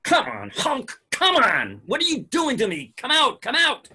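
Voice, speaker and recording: A man playing an anxious, flustered scientist in an amateur table read, frantic and strained, with a husky voice. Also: very high-pitched, wildly swooping pitch, a little slow, bright, forceful tone, heavily acted. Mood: frantic frustration. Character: anxious, flustered male scientist, frustrated with himself.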